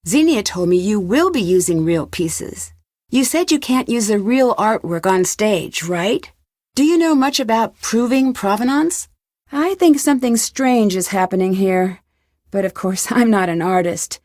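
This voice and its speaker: A young American woman voicing a polite, inquisitive amateur detective in a scripted adventure game, composed and clearly spoken. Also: medium pitch, expressive, measured pace, darkish tone, dry recording, studio quality. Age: young adult.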